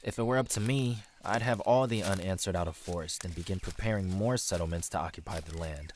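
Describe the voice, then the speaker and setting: An actor playing a cocky young crusader scout who likes to improvise, in a medieval fantasy audio drama. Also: male voice, low voice, brisk, lightly acted. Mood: casual. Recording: loud background noise.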